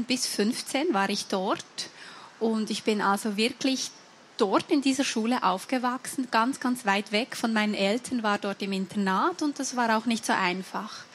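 A deaf woman from Switzerland with a Swiss German accent, whose first language is sign language. She tells her own story to an audience in measured, deliberate speech with frequent hesitations. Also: on the high side, slight background noise. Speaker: guest speaker at a book fair event.

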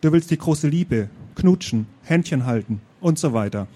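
A man's voice: on the high side, animated, moderate pace, dark tone. A man reading as a pushy know-it-all friend. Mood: eager.

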